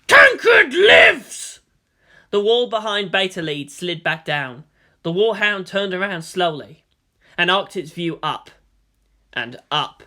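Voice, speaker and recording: A woman's voice: lowish voice, expressive, measured pace, sharp tone. A woman reading fan fiction aloud as a dramatic, scripted story narration.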